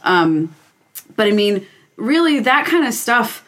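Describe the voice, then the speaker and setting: A woman's voice: a little high, slow pace. A woman in her late twenties, a podcast guest chatting casually and telling stories, often checking in with "right?". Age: 20s. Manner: chatty, lively storyteller, frequent "right?" tags. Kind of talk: casual chat.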